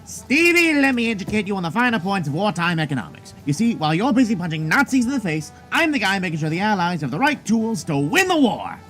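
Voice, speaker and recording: A man's voice: high voice, sing-song, quick pace, few pauses, a bit bright, soft background music. An actor playing a glib, cocky inventor and wheeler-dealer in an audio drama, with a salesman's patter.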